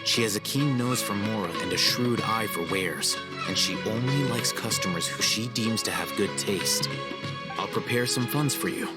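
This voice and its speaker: A man voicing a cool, haughty young scholar in a video game, formal and measured. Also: fairly low pitch, lightly acted. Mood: aloof, businesslike. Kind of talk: video game cutscene acting. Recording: music behind the voice.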